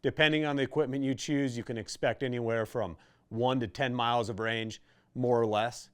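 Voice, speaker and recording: Man explaining gear, mid-pitched voice. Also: fairly even intonation, normal speed, smooth. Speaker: host of product tutorial videos for a radio retailer. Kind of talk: conversational instructional explainer.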